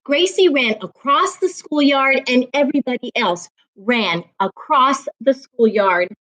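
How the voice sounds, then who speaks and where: A woman reading aloud and teaching as a storytime reading coach, with deliberate, emphatic phrasing meant for young listeners. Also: high-pitched, fairly bright tone, poor audio. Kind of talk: expressive children's read-aloud and teaching.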